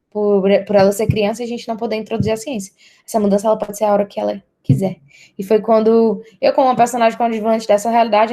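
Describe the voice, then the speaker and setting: A woman's voice: fairly high pitch, mellow. A young adult woman with a Brazilian accent, giving an academic presentation as a university student.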